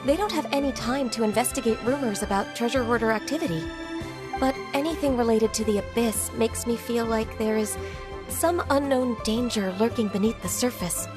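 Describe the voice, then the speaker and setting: A woman voices a dutiful young secretary in an anime game. Her manner is gentle and polite, with careful phrasing in a higher-than-usual female register. Music is clearly audible underneath. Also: moderate pace, lightly acted. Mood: concerned, polite.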